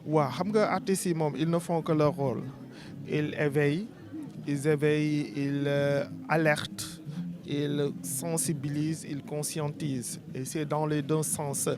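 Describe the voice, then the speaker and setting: A man with a Senegalese French accent, interviewed at an outdoor event, speaking in an earnest, measured, rhetorical way. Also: fairly high pitch, steady tone. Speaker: artist interviewee. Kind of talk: street interview, spoken-word declamation. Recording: crowd noise behind.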